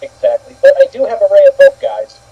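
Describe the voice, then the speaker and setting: A man's voice: quick pace, lo-fi sound. A man chatting casually as a panelist in an online discussion. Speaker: panelist on an online opinion show. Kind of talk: casual chat.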